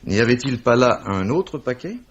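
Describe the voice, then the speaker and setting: A man playing a wry, courteous police commissioner, measured and eloquent, in an old radio drama. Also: mid-range voice, sing-song, slight background noise, lightly acted. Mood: explanatory. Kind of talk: 1950s French radio drama acting. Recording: dull vintage radio recording.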